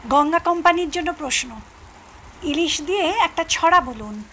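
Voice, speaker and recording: An actress playing a young Bengali daughter-in-law who briskly announces a family quiz in an audio drama. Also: female voice, high voice, expressive, fairly bright tone. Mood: businesslike, playful. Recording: faint noise behind voice.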